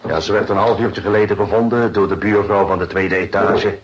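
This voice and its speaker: Guy acting a seasoned cop in a radio play, dry and unhurried, dull faintly noisy audio. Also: sits low, fairly even intonation, lightly acted. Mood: sober, businesslike. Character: seasoned male police detective, unhurried and drily observant. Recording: muffled radio recording with faint noise.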